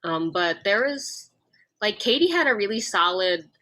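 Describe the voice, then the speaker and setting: Bright-voiced woman chatting about acting. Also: medium pitch, sing-song, measured pace. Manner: chatty, recalls work with laughs. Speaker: actor on panel. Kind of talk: panel discussion answers.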